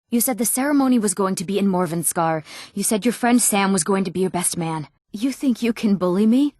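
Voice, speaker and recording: A woman's voice: mid-range voice, a little mellow, no room sound, close to an everyday voice. Woman voicing an earnest young fantasy townswoman, dry recording. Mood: annoyed, stern. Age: young adult. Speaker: video game voice actress. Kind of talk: scripted fantasy game dialogue.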